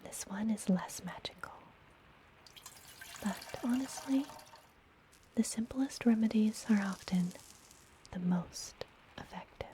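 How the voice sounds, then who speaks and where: A woman playing a friendly, gentle witch healer in an ASMR fantasy roleplay, whispering softly and soothingly, as if up close. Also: medium pitch, natural voice. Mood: gentle, caring. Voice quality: soft, breathy whisper. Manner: slow, soothing, intimate personal attention. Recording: close whisper with background noise.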